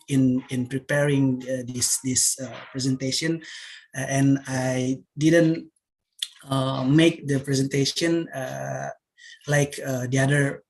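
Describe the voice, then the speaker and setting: A man's voice: medium pitch, rough recording. A man from Indonesia with an Indonesian accent, giving a hesitant presentation on a video call, full of fillers and restarts. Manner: halting, many fillers and restarts. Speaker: workshop participant presenting.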